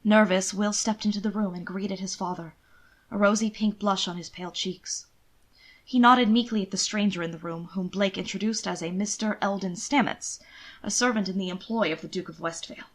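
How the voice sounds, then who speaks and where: Woman, medium pitch, expressive story narration, amateur audiobook reader, scripted. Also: a little fast. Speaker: amateur fan fiction narrator.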